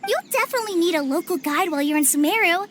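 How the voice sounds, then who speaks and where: A woman's voice: extremely high pitch, lively intonation, fast, background noise. A woman playing a shy but earnest young girl forest ranger in a video game, hesitant and eager to help. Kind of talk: animated game cutscene acting.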